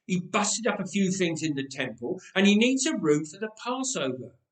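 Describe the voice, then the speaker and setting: Man acting a storybook uncle, weary, defensive, muttering, higher-pitched, roomy sound. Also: very animated, normal speed, far from the mic. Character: weary, evasive uncle, reluctant disciple in debt. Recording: roomy, echoing space.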